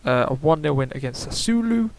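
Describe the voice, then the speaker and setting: A man's voice: a little high, lively intonation, fairly quick, slight background noise. A young man giving casual, rambling video game predictions, full of fillers and self-corrections. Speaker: gaming YouTuber.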